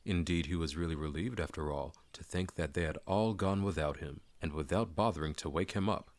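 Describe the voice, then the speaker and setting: A man's voice: deep voice, a little fast, no room sound. A man narrating a classic fantasy story as a dramatic audiobook reading.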